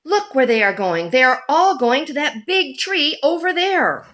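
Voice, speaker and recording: Female storybook reader, higher-pitched, unhurried and expressive, deliberate read-aloud delivery. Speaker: children's book reader. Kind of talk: picture book read-aloud.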